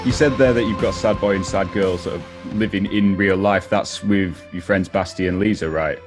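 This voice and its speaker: A man's voice: deep voice, fairly even intonation, normal speed. A man interviewing guests in a casual, chatty style. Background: music.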